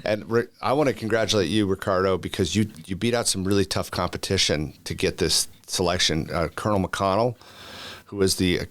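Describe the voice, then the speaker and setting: A man's voice: low voice, a little fast, a bit bright. A middle-aged man hosting a podcast in casual chat, friendly and chatty, laughing easily, in a chapel room. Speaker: podcast host, commander.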